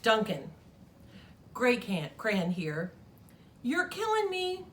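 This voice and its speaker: A woman's voice: on the high side, wildly swooping pitch, takes it slowly, a bit bright, a little hiss or hum, heavily acted. A woman reading the letter of an exhausted, put-upon gray crayon from a storybook, weary and pleading.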